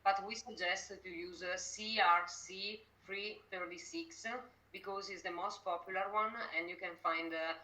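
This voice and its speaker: Italian-accented lady being interviewed, bright forceful voice on the low side, halting as she hunts for words. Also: fairly even intonation, rough recording. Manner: halting, searching for words in non-native speech. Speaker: company representative being interviewed. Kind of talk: conversational interview answers.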